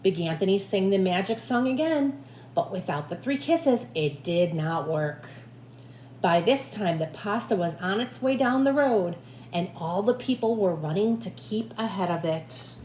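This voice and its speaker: A woman teacher reading a picture book aloud in an animated way, with asides to young listeners. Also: medium pitch, unhurried. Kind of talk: expressive children's read-aloud with asides to listeners. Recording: echoey room with faint background noise.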